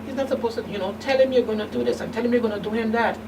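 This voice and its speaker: A middle-aged woman giving candid personal testimony as an interview guest, speaking haltingly with emphatic turns. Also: on the high side, steady tone. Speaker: guest, survivor and advocate. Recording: distant mic, noisy room hum.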